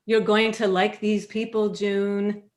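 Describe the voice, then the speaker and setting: A woman's voice: medium pitch, flat delivery, slow pace, lightly acted. A woman in a staged reading, playing a forthright, courteous suburban neighbour who speaks with composure. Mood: composed. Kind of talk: staged reading of a satirical play. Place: video call.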